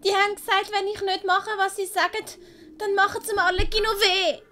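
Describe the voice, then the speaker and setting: A woman's voice: very high voice, flat delivery, brisk, sharp tone, pitched above the performer's own voice. A woman voicing a timid, remorseful young boy who pleads plaintively in an audiobook. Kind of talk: audiobook character acting.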